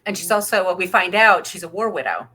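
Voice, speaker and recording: Bright-voiced woman chatting casually. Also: lowish voice, expressive, quick pace, slightly rough, low-quality recording. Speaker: podcast co-host. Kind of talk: casual film discussion.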